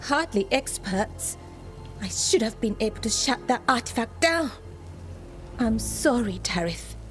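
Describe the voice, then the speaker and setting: A woman's voice: fairly high pitch, expressive, measured pace. A woman playing an anxious, self-critical elven magic expert in a fantasy video game, with intense delivery. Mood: dejected. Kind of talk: video game cutscene acting. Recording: very loud music over the voice.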